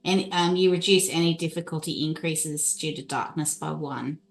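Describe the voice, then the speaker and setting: A woman's voice: deep voice, normal speed, gravelly, soft background music. A woman chatting casually as a player in a tabletop role-playing game.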